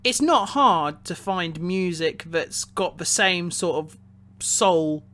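A man co-hosting a podcast, talking casually about films in a halting way, often repeating words as he goes. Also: high-pitched, expressive, fairly bright tone. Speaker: podcast co-host reviewing a film. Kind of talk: casual opinionated discussion.

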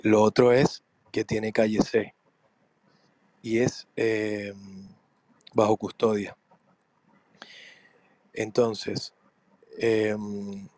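A man with a voice hoarse from laryngitis, explaining things in a measured, hesitant way on a podcast. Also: fairly low pitch, darkish tone, no room sound. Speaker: podcast guest.

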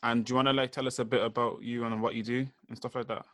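A man's voice: mid-range voice, little pitch movement, fast talker, a bit bright, no echo, studio quality. A young man hosting a podcast, easygoing and casual, asking questions and giving short encouraging replies. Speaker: podcast host.

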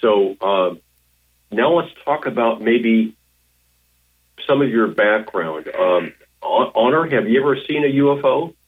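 A man's voice: mid-range voice, lively intonation, measured pace, slightly rough, narrow, tinny audio. A man hosting a radio talk show from a hotel room, chatting casually with lots of fillers. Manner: friendly, rambling with fillers.

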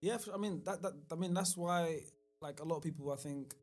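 A man, pitched a touch above the usual. He talks loosely and hesitantly as a podcast guest, circling back with fillers and restarts. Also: a little fast, a little mellow. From London, of Somali family. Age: 20s. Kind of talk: casual chat.

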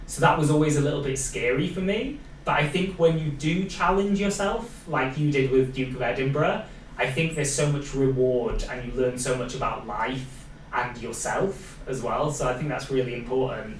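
A man's voice: medium pitch, normal speed. A young adult man with a Welsh accent, hosting a casual podcast interview and talking encouragingly and reflectively, often adding "like" and "you know". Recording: roomy, distant mic, faint background noise.

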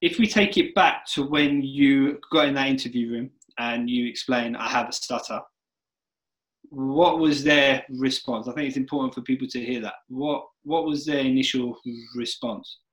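A man hosting an interview podcast, talking earnestly and with emphasis, speeding up and slowing down within phrases. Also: medium pitch, fairly bright tone, low-quality recording. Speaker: podcast host. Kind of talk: interview chat.

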